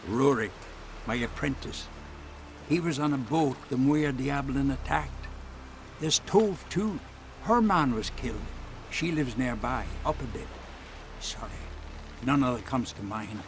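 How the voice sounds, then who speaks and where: Grim island villager, mid-pitched. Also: male voice, expressive, a little slow, long pauses, soft background music. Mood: grim, grave. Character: grim, plain-spoken seafaring island villager. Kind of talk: video game voice acting.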